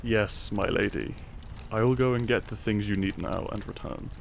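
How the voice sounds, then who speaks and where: Man playing a low, gravelly, tender doctor. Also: fairly even intonation, normal speed, a little hiss or hum. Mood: gentle, deferential. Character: tender, devoted Victorian doctor, modest and smitten. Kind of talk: intimate romantic roleplay audio drama.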